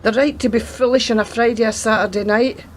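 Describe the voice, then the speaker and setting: A woman in a radio play acting a world-weary, sceptical grandmother who used to be a policewoman, speaking in a dry, measured way. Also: fairly high pitch, fairly even intonation, a little hiss or hum, lightly acted. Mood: weary, reflective.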